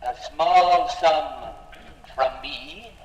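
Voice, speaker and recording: An actor playing a courteous, wise alien from outer space in a 1950s radio skit, speaking formally and politely. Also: male voice, on the high side, very slow, faint music behind. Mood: courteous curiosity. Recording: echoey 1950s radio, long reverb, faint noise.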